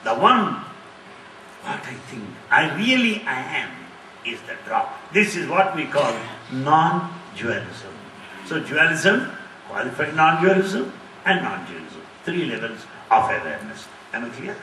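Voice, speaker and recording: A middle-aged man answering audience questions in a lively talk, repeating key phrases and laughing often. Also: fairly high pitch, measured pace. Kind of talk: lively lecture with jokes. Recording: echoey hall, distant mic, faint hiss.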